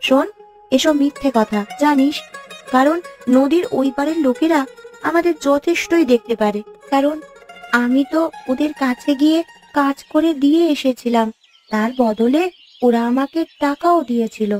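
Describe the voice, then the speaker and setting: High-pitched thoughtful young village boy voiced by a woman. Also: normal speed, a little mellow, faint music behind, close to an everyday voice. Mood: earnest. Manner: explanatory, earnest. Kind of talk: cartoon fairy tale dialogue.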